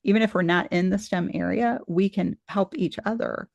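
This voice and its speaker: Gravelly-voiced woman hosting a conversation. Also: lowish voice, normal speed, a little mellow, no room sound, lo-fi sound. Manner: thoughtful and rambling, many restarts. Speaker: podcast host interviewing a guest. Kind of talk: casual conversational interview.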